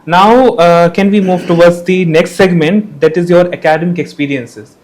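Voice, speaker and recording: A man's voice: high voice, moderate pace, a little mellow, a little hiss or hum, rough recording. A young man hosting a student panel, politely thanking and prompting each guest. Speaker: podcast host.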